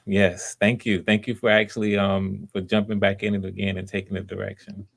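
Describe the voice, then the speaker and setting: A man's voice: lowish voice, little pitch movement, fairly quick, creaky. A man hosting live auditions casually, coaching in an encouraging way and often repeating his words. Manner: encouraging, hesitant repetitions. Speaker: audition host.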